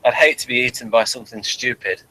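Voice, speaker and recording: A man with a mid-pitched voice talks through a show in a loose, conversational review. He rambles and halts, often restarting with the same phrase as he guides the discussion. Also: sharp tone, breathy edge, low-quality recording. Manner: halting, rambling, repeats phrases. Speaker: host of a TV episode review.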